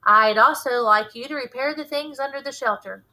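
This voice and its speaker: Woman putting on a stern, fed-up Southern mom, bright voice. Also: high-pitched, normal speed. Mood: firm. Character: stern, fed-up Southern mother, worried and sharp.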